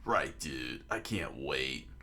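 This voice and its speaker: A man's voice: extremely low pitch, animated, takes it slowly, pushed and bright, slight background noise, voice pushed down, exaggerated voice. A man voicing an easygoing, eager young pirate captain with laid-back dude talk for a story reading.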